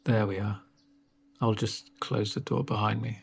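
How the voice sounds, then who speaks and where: A man speaking softly in an ASMR roleplay as a doctor, with a gentle, reassuring, unhurried manner and a breathy voice. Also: on the low side, flat delivery. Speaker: ASMR performer playing a doctor. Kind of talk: soft-spoken ASMR roleplay.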